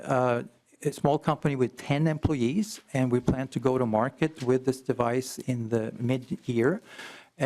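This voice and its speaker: A middle-aged man from Iceland, raised in Sweden, speaks as a startup co-founder at a legislative hearing. He talks in a measured way, with occasional fillers and restarts, and his voice sits in a middle range. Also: mid-range voice, darkish tone. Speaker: testifying company co-founder. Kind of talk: committee testimony.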